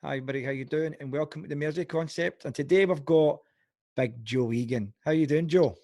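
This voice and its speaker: A man with a Glasgow accent hosting an amateur podcast, chatty and friendly, dropping in quick "yep"s as his guest talks. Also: fairly high pitch, dark tone, no room sound. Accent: Glaswegian. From Scotland. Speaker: podcast host. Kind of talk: casual interview.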